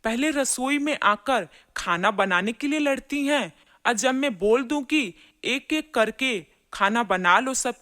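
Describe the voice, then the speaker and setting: A woman acts a middle-aged mother-in-law in a cartoon family drama. She is bossy and exasperated, scolding in a fairly high voice. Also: a bit bright. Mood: exasperated, firm.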